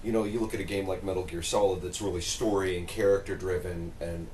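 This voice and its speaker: A man's voice: low voice, steady tone, quick pace, a little mellow, slight background noise. A man talking as a panelist in a casual, conversational discussion. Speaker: podcast panelist.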